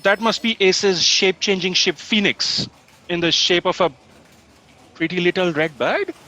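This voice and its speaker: A woman playing a dry, weary, sardonic assistant to a cosmic boss, deadpan but theatrically emphatic, in a comic sci-fi audio drama. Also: fairly low pitch, unhurried.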